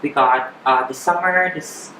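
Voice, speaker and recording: A woman in her thirties with a Filipino accent, chatting candidly as an interview guest, often halting and repeating words. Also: rumbling low. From Philippines. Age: 30s. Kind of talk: personal interview chat. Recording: echoey room with clear background noise.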